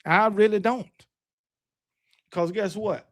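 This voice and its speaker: A high-voiced man with a Southern US accent hosts casual sports talk. He speaks bluntly and emphatically, arguing his point and repeating it to drive it home. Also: fairly even intonation, takes it slowly. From Alabama, United States. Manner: blunt, argumentative, emphatic, repeats himself for effect. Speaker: sports talk show host. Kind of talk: casual live sports debate.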